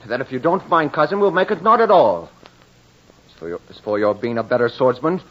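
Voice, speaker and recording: A man's voice: medium pitch, animated, close to an everyday voice. Man acting a haughty, vengeful young nobleman, muffled radio. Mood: defiant. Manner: theatrical, deliberate, florid diction. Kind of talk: 1940s-50s radio horror drama acting. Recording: muffled old-time radio recording with music.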